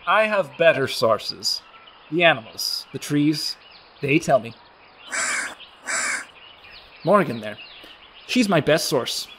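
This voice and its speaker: A man plays an enigmatic fairy keeper of the woods in a radio drama. His voice sits fairly high for a man and moves with an unhurried, gentle lilt. Faint birdsong surrounds him. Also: sing-song, noisy background. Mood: wry, serene. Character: mysterious old male fairy guardian of an Irish forest, gentle, cryptic. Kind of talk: radio play acting. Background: birdsong, woodland ambience.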